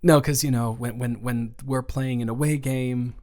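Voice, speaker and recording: A young man playing a gentle, awkward roommate with a crush in an improvised roleplay audio, hesitant and reassuring as he talks. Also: medium pitch, steady tone, moderate pace, lightly acted. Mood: friendly, rambling.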